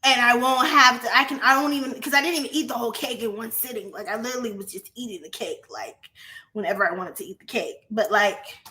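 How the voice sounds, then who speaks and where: A young adult woman vlogging in a casual, profane monologue to her viewers, animated and often laughing. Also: fairly high pitch, fast.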